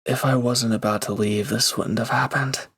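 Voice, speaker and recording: A man acting in an intimate audio drama as a gruff but caring reformed villain, earnest, halting and awkwardly affectionate. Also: medium pitch, fairly even intonation, fairly bright tone. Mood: flustered, torn.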